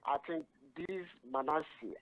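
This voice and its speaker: A man's voice: on the high side, a little slow, dry recording, narrow, tinny audio. A Ghanaian man calling in to a talk radio show, arguing his point with stumbling repetitions. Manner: animated, argumentative, stumbling repetitions. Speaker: caller.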